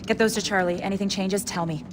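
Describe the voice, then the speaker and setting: Fast, hard-nosed female intelligence chief, played by an actress. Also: medium pitch, steady tone, close to an everyday voice. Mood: businesslike. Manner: clipped, assertive. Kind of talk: dramatic video game cutscene acting.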